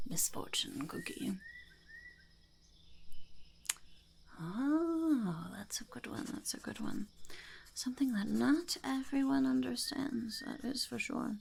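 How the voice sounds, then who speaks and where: A woman voicing a blunt, earnest female goblin shopkeeper in an ASMR roleplay, whispering and speaking softly with a gravelly creak and musing to herself. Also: a little high, big pitch swings, takes it slowly, full character voice. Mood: musing, approving. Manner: musing, hesitant, repeating phrases to herself.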